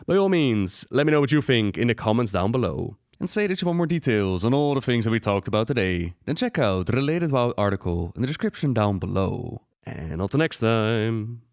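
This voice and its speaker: A man's voice: medium pitch, expressive, fairly quick, hardly any pauses, darkish tone, no room sound. A man hosting a gaming lore video, narrating a script with dramatic rhetorical questions into a close microphone.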